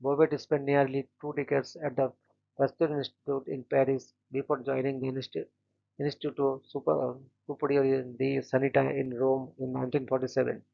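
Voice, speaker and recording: Man, monotone, gravelly, dull lo-fi sound, scripted reading, trips over hard names. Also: mid-range voice, fairly quick. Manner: flat, steady reading with stumbles on names. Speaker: host of a daily events channel.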